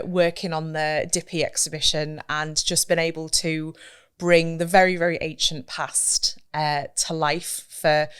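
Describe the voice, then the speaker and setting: A woman in her thirties chats as a guest, earnest and reflective. She often restarts as she works through her thoughts. Her voice is slightly low and very smooth and clear. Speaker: guest, business owner. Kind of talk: casual interview chat.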